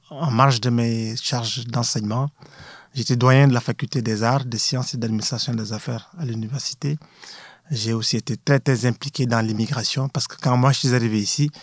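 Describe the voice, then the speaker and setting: Older man, husky, dry close sound; professor recounting his life calmly. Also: mid-range voice, no echo. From Senegal. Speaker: guest, university professor. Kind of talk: conversational interview.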